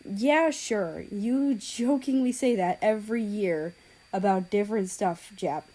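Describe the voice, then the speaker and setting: Woman reader as sneering teen boy, dismissive, scornful, mellow tone, audiobook tale. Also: medium pitch, unhurried, lightly acted.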